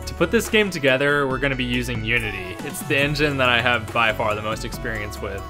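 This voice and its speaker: A man's voice: mid-range voice, animated, fairly quick, music in the background. A young man narrating a scripted vlog about his own work, with wry, self-deprecating humour. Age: young adult. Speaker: video creator and game developer.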